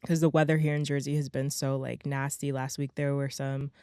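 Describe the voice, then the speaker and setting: A young adult woman hosting her own podcast in a casual, motivational monologue. Her voice is slightly hoarse and raspy, and she talks emphatically, often tagging lines with "right?" and "okay?". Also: extremely low pitch, little pitch movement, brisk, a little mellow, no echo, clean studio sound. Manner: chatty, emphatic, peppers talk with "right?" and "okay?". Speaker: podcast host.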